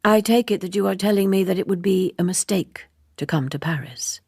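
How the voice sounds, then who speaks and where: A woman plays an earnest young woman typist in a literary audiobook. She is urgent and direct, with a husky edge, recorded dry and close. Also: medium pitch, fairly quick, darkish tone, dry recording. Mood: anxious, insistent.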